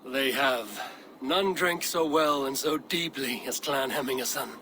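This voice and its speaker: Steady young Viking warrior, firm and reassuring, with a bright, clear voice. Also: male voice, mid-range voice, a little slow, slight background noise. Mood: commanding.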